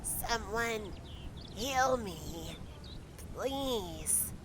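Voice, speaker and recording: A woman voices an embattled female adventurer in a fantasy audiobook. She pleads in drawn-out words at a medium pitch, with clear background noise around her. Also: animated. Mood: pleading.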